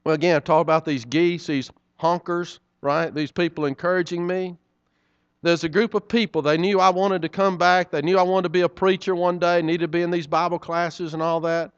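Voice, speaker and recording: A man's voice: on the high side, level tone, normal speed, clean tone, no echo, muffled recording. A middle-aged man, preaching a sermon in a folksy, conversational way with frequent asides to the congregation. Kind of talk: sermon storytelling.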